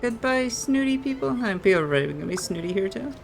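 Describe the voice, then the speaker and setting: A woman's voice: fairly high pitch, animated, brisk, vocal fry, a little hiss or hum. A woman with a playful manner, casually chatting and reading aloud as she plays a video game. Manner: giggly, playful. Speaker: game streamer.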